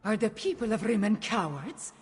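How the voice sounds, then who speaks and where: A woman playing a proud, impatient cat-woman heir to a throne in a fantasy video game, speaking in a forceful, regal manner. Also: medium pitch, lively intonation, normal speed, soft background music, close to an everyday voice. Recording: reverberant hall, noise behind.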